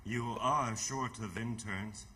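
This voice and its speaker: Serene, mysterious young male doctor, radio play, solemn and knowing, clear background noise. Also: on the low side, takes it slowly, bright, forceful tone. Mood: solemn, gentle. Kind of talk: radio theatre acting.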